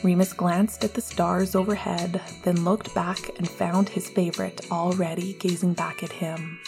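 Female narrator for romance fiction: low, husky, gentle and intimate, faint music underneath. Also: level tone, unhurried. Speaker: amateur audiobook narrator. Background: soft music.

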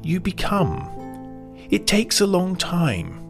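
A man voicing a very old, wise and kindly toy horse in a children's story, explaining slowly, gently and patiently. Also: sits high, big pitch swings, voice pushed up, exaggerated voice. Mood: patient, tender. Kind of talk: children's storybook character reading. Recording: guitar music behind the voice.

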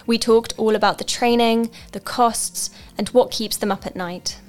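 A woman's voice: on the high side, fairly even intonation, moderate pace, faint music behind. A woman interviewing a rowing crew, asking short, friendly questions. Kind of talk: radio interview.

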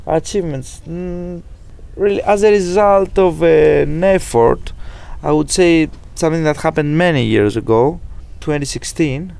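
A man with a Greek accent, chatting casually and thinking aloud, with many pauses and fillers. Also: a little high, gravelly, darkish tone. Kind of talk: casual chat.

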